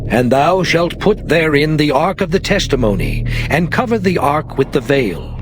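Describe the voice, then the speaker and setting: A solemn divine male figure, acted by a man, issues measured commands in a scripture drama. The voice sits a little high for a man, with prominent music playing behind it. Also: big pitch swings. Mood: solemn, commanding. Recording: voice under loud background music. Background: loud music.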